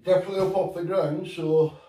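A man's voice: sits high, fairly even intonation, fast, dark tone. A middle-aged man with a Northern English accent, casually reviewing camping gear in his living room, rambling and matter-of-fact. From England. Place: living room at home, indoors. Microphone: far camera on a tripod. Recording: roomy, distant camera audio, faint noise.

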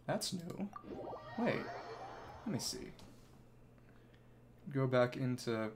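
A man's voice: medium pitch, very animated, drawn-out words, background noise. Man rambling through casual game commentary. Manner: rambling, thinking aloud. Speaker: video game streamer. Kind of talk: casual live gameplay commentary. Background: video game music.

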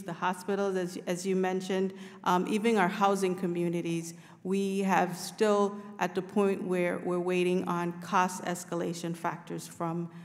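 Middle aged woman testifying, lowish voice, careful and earnest, big echoey room. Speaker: witness at a congressional hearing. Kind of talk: formal testimony. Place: large indoor committee hearing room. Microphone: table microphone. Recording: echoey hearing room, long reverb.

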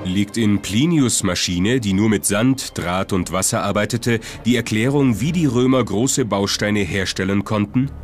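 A man's voice: on the low side, unhurried, few pauses. A man reading scripted documentary narration. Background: music.

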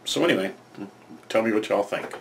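A man's voice: fairly low pitch, animated, brisk, creaky, a little hiss or hum. An older man from the United States talking casually to his webcam at home, rambling with fillers and repeated words as he gives his opinions. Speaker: vlogger giving political opinions. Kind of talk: casual monologue to camera. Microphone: webcam framing, head and shoulders.

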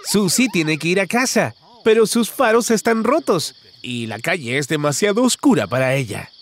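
A man's voice: sits high, big pitch swings, moderate pace, slight background noise. A man narrating a children's cartoon in an exaggerated, singsong way, talking to the characters.

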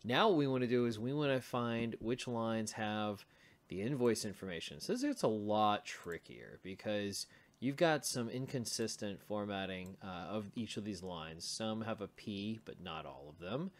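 A man's voice: on the low side, lively intonation, moderate pace. A man giving an informal screencast coding tutorial, thinking aloud as he works through each step. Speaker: programming tutorial instructor.

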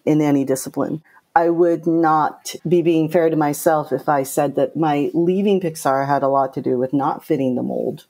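A middle-aged woman with a low voice, speaking bluntly and directly as an interview guest. Her tone is dark and mellow. She tells her stories with animation. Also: normal speed. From Japan. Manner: direct, outspoken, animated storytelling. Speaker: game producer, guest. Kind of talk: casual interview talk.

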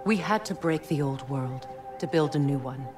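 An earnest female warrior leader from a video game. Her voice is low for a woman, measured and dignified, with sincere encouragement. Music is clearly heard underneath. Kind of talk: dramatic game acting.

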